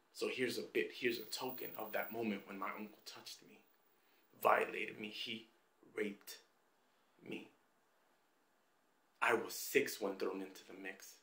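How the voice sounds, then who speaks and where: A man performing a troubled figure confessing a painful past in an emotive, poetic film monologue with pauses and hushed drops. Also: on the low side. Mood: pleading, then pained. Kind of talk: dramatic film monologue with rhymed spoken-word poetry. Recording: roomy, echoing amateur film sound.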